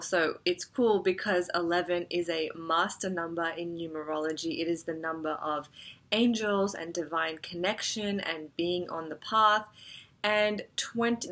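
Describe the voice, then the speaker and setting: Nasal woman with a cold, gently rambling. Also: lowish voice. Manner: gentle, rambling, with frequent fillers. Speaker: astrology forecast host. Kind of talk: casual spiritual forecast talk.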